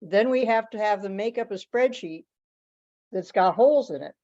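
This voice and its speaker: A woman's voice: medium pitch, animated, normal speed, mellow. A woman speaking informally in a group teleconference, with lo-fi call sound. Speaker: meeting participant. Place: remote teleconference. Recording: dull, lo-fi call audio.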